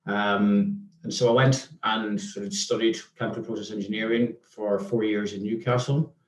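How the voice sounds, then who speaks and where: Interview guest: Northern Irish man, lowish voice, hesitant, recorded in a roomy space. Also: fairly even intonation, moderate pace. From Northern Ireland. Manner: hesitant, many restarts and ums. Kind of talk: casual interview chat. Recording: echoey room.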